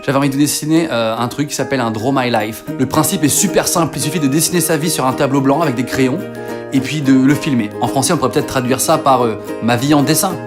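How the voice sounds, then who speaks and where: A young man from northern France narrating his own life with quick, self-mocking jokes. He talks fast over clear background music. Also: medium pitch. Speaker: video creator. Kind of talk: comic autobiographical voice-over.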